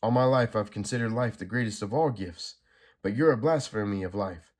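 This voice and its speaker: A man's voice: fairly low pitch, brisk, close to an everyday voice. A man reading a comic aloud, voicing a fierce, selfless young superheroine in a strained, raised voice. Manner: raised, strained, shouting delivery.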